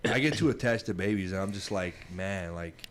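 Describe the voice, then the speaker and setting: A man's voice: low-pitched, fairly quick, crowd noise. A young man born in Iraq, chatting casually on a podcast and talking emphatically, often calling people "bro". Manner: emphatic, says "bro" a lot, acts out quoted talk.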